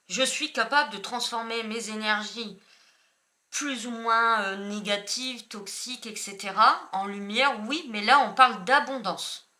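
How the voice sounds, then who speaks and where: Brief: French woman, casual card reading, bright higher voice, rambling with fillers. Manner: rambling, self-correcting, many fillers. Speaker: spiritual tarot reader.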